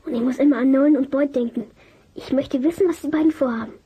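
Cheeky kid sleuth played by an actor in a german radio play, high mellow voice, eager and knowing. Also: fast talker, natural voice. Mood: conspiratorial. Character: eager, cheeky child detective, suspicious of everyone.

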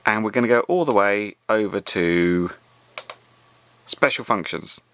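A man's voice: fairly low pitch, measured pace, a bit bright, slight background noise. A man presenting a casual hands-on tutorial in a conversational, step-by-step way. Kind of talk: casual spoken tutorial over a hands-on demonstration.

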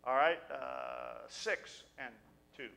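A man's voice: mid-range voice, wildly swooping pitch, creaky, pushed and bright. A middle-aged man pastor giving an earnest, conversational sermon and reading scripture at a pulpit mic in a small church. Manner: earnest, conversational, with halting restarts. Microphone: pulpit stand mic.

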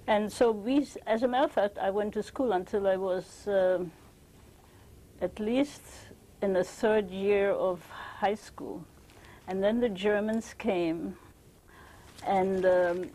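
An older woman from Belgium recalls her past in an interview, her voice low and mellow. She speaks haltingly, often breaking off and restarting her sentences. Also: slight background noise, rough recording. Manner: hesitant, halting, many restarts. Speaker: interviewee giving testimony. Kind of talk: oral history interview.

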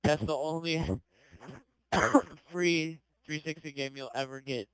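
A man's voice: on the high side, fairly even intonation, takes it slowly, smooth, no echo, rough recording. Giggly teen boy on a headset. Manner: chatty, giggly, playful would-you-rather questions. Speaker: gamer in voice chat. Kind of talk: casual party chat while playing. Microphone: gaming headset.

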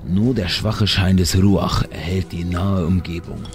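A man's voice: sits low, fairly even intonation, unhurried, a little mellow, soft background music. A man reading scripted narration for a fantasy audio drama.

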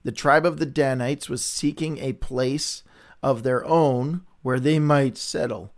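A man's voice: mid-range voice, fairly even intonation, a little slow. A man hosting a casual livestream Bible reading, chatty and upbeat, greeting viewers by name, with a runny, stuffy nose.

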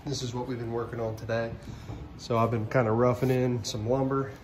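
A man's voice: mid-range voice, flat delivery, a little fast, a little mellow. Matter-of-fact man in a noisy basement. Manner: plain, practical, matter-of-fact. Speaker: co-host doing the plumbing. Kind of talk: casual DIY explanation. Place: unfinished basement, indoors.

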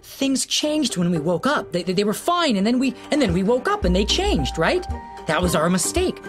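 A stammering, panicked husband. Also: male voice, sits high, sing-song, moderate pace, soft background music. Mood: pleading. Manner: stammering, swings from shouting to whispering. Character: anxious husband losing his love, middle-aged man. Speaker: actor. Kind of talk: studio audio drama acting.

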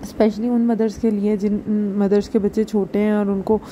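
A woman's voice: medium pitch, flat delivery, moderate pace, warm tone, a little hiss or hum, low-quality recording. A young woman hosting a home cooking channel, explaining a recipe step by step in a chatty, homely way with many fillers. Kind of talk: casual cooking tutorial voice-over. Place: home kitchen.

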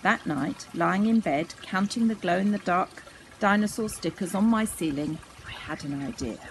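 A woman's voice: lowish voice, moderate pace, rough recording. A woman in her sixties reading a children's story aloud outdoors in a garden. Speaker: storybook reader. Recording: faint outdoor background noise.

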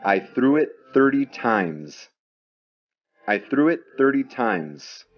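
A man teaching English, reading each drill sentence twice in a deliberate way. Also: mid-range voice, very animated, dull audio, soft background music. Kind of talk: slow, repeated drill sentences for learners.

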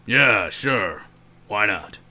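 A man voicing a grumpy, sarcastic green alien warrior in a fan parody cartoon dub, with a gravelly voice and an exasperated manner. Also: fairly low pitch, lively intonation, extremely slow, heavy background noise. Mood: deadpan, sarcastic.

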